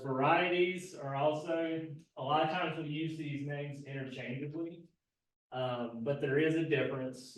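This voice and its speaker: Soft-spoken man lecturing in an echoey room. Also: mid-range voice. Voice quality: soft-spoken, not projecting. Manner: explanatory, with frequent fillers and self-corrections. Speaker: agricultural extension agent teaching a gardening class. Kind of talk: live classroom lecture. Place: indoor class room. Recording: very echoey room, mic far from speaker.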